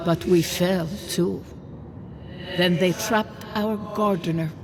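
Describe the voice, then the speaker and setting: An actor voicing a solemn ancient spirit guardian of a fallen people in a fantasy game, speaking formally and gravely. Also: medium pitch, steady tone, background noise. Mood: mournful, grave. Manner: slow, grave, formal, archaic phrasing. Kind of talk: dramatic fantasy video game acting. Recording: game music under the voice.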